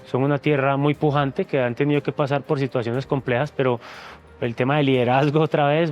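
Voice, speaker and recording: A man's voice: mid-range voice, darkish tone. Earnest man, reflective. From Venezuela, raised in Colombia. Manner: earnest and reflective, repeats words as he searches for them. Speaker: interview guest, former reality show contestant. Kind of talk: emotional sit-down interview.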